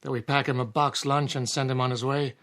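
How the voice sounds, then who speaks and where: An actor playing a firm, rational small-town doctor in a radio drama, measured and authoritative as he explains. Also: mid-range voice, little pitch movement, a bit bright, natural voice. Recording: music under the voice.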